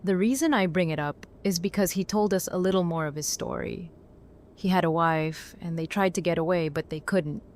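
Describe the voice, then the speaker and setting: A woman's voice: on the low side, moderate pace, darkish tone, natural voice. A woman playing a blunt, battle-worn apocalypse survivor in a naturalistic audio drama. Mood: candid.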